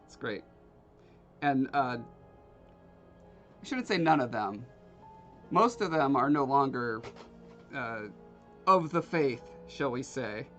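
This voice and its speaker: A man's voice: mid-range voice, animated, takes it slowly, pauses often, a bit bright, soft background music. A man from Alaska hosting a livestream, chatting casually and joking with his viewers while he carves. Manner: rambling, joking with live chat. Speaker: livestream host. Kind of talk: casual chat while carving.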